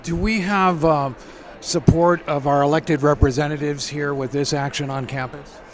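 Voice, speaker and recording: A man's voice: fairly high pitch, fairly even intonation, moderate pace. Male radio interviewer, courteous and admiring, on location outdoors. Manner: polite, admiring questioning. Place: outdoors on a university campus.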